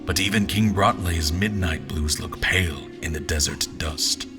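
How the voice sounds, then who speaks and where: Audio drama lead: actor as pompous male general, low voice, measured literary narration over prominent music. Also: close to an everyday voice. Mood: measured, reflective. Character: formal, pompous, troubled male general recounting his memories.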